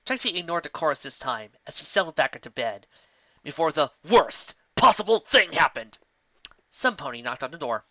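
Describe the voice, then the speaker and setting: A woman's voice: very deep voice, bright, forceful tone, no echo. A woman narrating a piece of fan fiction as an amateur reader. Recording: dull, muffled lo-fi recording.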